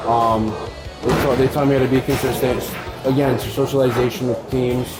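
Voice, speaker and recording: A young man answers interview questions in a casual way. His pitch sits in the middle for a man. Loud music plays behind him throughout. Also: level tone, fairly quick, rough recording. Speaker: bowler being interviewed. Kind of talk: casual interview answers, some reading aloud. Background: music, in a bowling alley.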